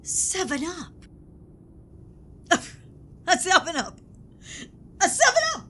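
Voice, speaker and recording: Pompous sketch interrogator , female performer: high-pitched, wildly swooping, accusatory and melodramatic, with background rumble. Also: bright, forceful tone. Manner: theatrical, accusatory, drawn-out. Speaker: comedy podcast sketch performer. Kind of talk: theatrical sketch acting.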